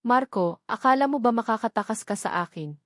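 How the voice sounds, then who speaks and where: A woman's voice: a little high, expressive, a little fast, dry recording, clean studio sound, close to an everyday voice. A woman voicing a vengeful, threatening female villain in a narrated romance story. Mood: menacing.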